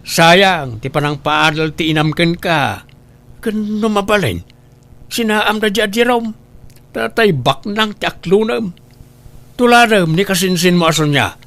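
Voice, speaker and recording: Gravelly, nagging Filipino father played by a man. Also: high voice, lively intonation, measured pace, fairly bright tone, full character voice. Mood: scolding. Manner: scolding, questioning. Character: stern, nagging middle-aged father and uncle. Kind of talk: amateur comedy drama acting.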